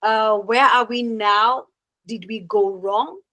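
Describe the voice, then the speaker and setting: A woman from Kenya, hosting and moderating a panel discussion in an unhurried way with many "uh"s. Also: fairly high pitch, sharp tone, dry recording, low-quality recording. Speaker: host and moderator.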